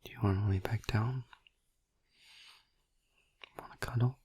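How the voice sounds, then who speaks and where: A man playing a gentle, devoted vampire boyfriend in an intimate ASMR roleplay, whispering breathily and soothingly with long pauses. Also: fairly low pitch, steady tone, breathy edge. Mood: tender, soothing.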